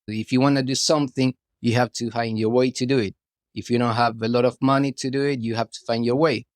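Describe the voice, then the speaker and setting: A man's voice: mid-range voice, steady tone, fast, no room sound, clean studio sound. A middle-aged Argentine man, a guest artist, chatting loosely in an interview, restarting phrases and saying "you know" often. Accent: Argentine Spanish. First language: Spanish. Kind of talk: casual interview chat.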